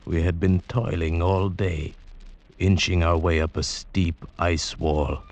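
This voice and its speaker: Very deep, slow, weary mountain guide. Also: male voice, flat delivery, darkish tone. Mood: weary, mysterious. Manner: halting, solemn, deferential. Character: weary, humble male mountain guide, changed survivor returned from the dead. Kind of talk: 1940s radio acting.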